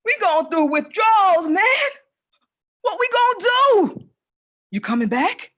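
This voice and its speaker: A woman plays a lonely, melodramatic young woman. Her voice is extremely high and piercingly bright, and she pleads slowly, repeating herself in a comic monologue. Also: sing-song, full character voice. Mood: distraught. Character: lonely, dramatic young woman hooked on online parties.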